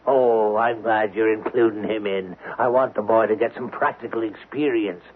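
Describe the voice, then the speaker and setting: A man's voice: fairly low pitch, fairly even intonation, moderate pace, natural voice. Man as middle-aged crook dad, folksy, wry, bossy, radio drama acting, thin lo-fi broadcast, faint noise. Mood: wary, shrewd. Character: gruff fatherly veteran crook, exasperated with his son. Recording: thin narrow-band old radio broadcast, faint hiss.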